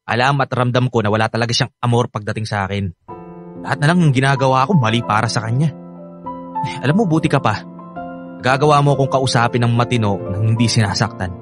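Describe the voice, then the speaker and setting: A man's voice: medium pitch, quick pace, natural voice. A man with a Filipino accent playing a sulky, rebellious sixteen-year-old boy in a radio drama, whiny and argumentative. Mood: bitter, hurt. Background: background music.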